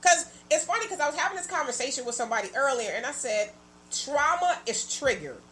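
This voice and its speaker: A woman's voice: high voice, sing-song, fast, a bit bright, slight background noise, rough recording. A woman in her forties hosting a casual chat, talking openly and colloquially, often laughing. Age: 40s. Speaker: host.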